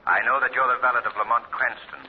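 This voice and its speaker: An actor playing an invisible male avenger in a 1940s radio drama, calm, taunting and menacing. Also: medium pitch, narrow, tinny audio, lightly acted. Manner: sinister, taunting, measured. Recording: scratchy 1940s radio broadcast.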